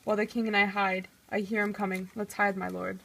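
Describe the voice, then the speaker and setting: Officious old court counsellor, read by a woman, mid-pitched, amateur puppet drama. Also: level tone, brisk, creaky, a bit bright, rough recording. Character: officious old male royal counsellor Polonius. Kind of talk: amateur scripted puppet-show acting.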